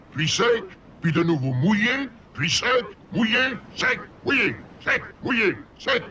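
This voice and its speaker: Sharp, high-voiced, aggrieved little pebble. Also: male voice, a little hiss or hum, full character voice. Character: aggrieved talking seaside pebble with a petty complaint. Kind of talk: children's puppet show acting.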